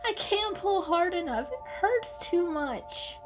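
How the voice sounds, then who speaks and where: A woman's voice: very high-pitched, expressive, slow pace, soft background music, pitched above the performer's own voice, full character voice. A woman voicing a sweet, dramatic female cat in a storybook reading, plaintive and emphatic. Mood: pleading, pained.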